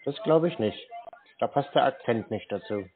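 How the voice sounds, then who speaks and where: A man's voice: medium pitch, expressive, moderate pace, a crowd in the background. A man on a phone call, dry and mocking, correcting the caller's grammar. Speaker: call recipient pranking a scammer. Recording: tinny phone line.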